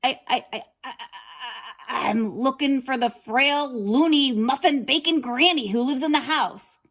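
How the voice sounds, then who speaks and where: A woman's voice: high-pitched, measured pace, a bit bright, voice pushed up, exaggerated voice. A woman voicing a sly, greedy wolf villain from a children's storybook, wheedling and gloating. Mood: flustered. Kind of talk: children's storybook character reading.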